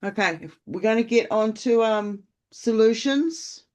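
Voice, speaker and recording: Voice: mid-range voice, unhurried, darkish tone. A podcast co-host talking in a casual, rambling and reflective way, with plenty of fillers. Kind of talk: casual spiritual podcast chat.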